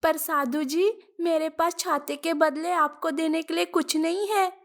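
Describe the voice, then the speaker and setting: A woman's voice: extremely high pitch, fairly even intonation, a little slow. A woman voicing a poor, dutiful, anxious young schoolboy with an earnest, pleading manner in a moral-story cartoon. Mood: worried, pleading.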